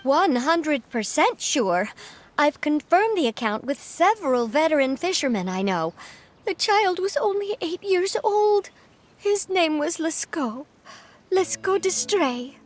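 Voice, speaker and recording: A woman's voice: high voice, very animated, measured pace. A woman voicing an earnest, talkative young lady in a video game, polite, emphatic and fretful. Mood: worried, insistent.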